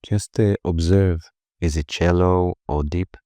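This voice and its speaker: A man leading a guided meditation in a slow, gentle, soothing manner, with pauses between phrases. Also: low-pitched, fairly even intonation, dry recording.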